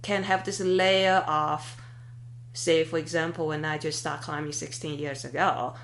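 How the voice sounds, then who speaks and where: A woman in her thirties with a notably low voice hosts a podcast conversation. She speaks in a thoughtful, halting way, pausing on fillers and restarting sentences as she works out her questions. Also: steady tone, fairly bright tone, slight background noise. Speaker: podcast host. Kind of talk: conversational interview.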